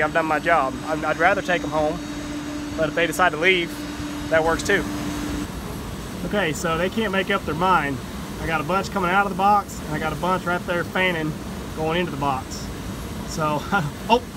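A man's voice: fairly high pitch, moderate pace. A middle-aged beekeeper, chatting casually to viewers while he works outdoors at an airport, recorded on a phone camera set nearby. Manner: chatty, talks to viewers as "y'all". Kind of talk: casual vlog narration while working. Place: outdoors at an airport, near a truck. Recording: outdoor airport noise behind.

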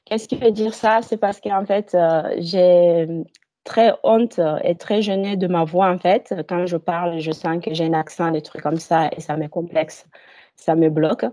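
A woman's voice: fairly low pitch, steady tone, a little slow, mellow, no room sound. A woman in her thirties, a hypnosis client on a video call, telling her personal story hesitantly with many fillers.

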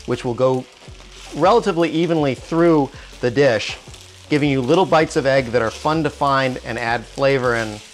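A man in his forties hosting a cooking show, explaining each step calmly in a home kitchen with small restarts, on a lapel mic. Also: mid-range voice, normal speed, a little hiss or hum. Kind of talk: cooking demonstration. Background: soft music and sizzling wok.

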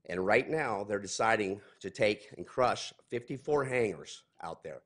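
A man's voice: lowish voice, animated, unhurried, fairly bright tone. A man in his forties giving a heated, accusing public comment at a podium mic in a large council chamber.

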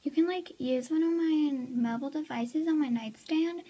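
A woman's voice: high voice, normal speed, warm tone, voice pushed up, close to an everyday voice. A girl voicing a snobby gossiping teenage fake friend in a toy skit, with a valley-girl drawl full of "like" fillers. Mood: bored, bossy.

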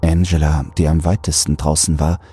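A man narrating a scripted horror audiobook in a deliberate, brooding delivery. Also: extremely low pitch, little pitch movement, mellow, slightly rough, faint music behind.

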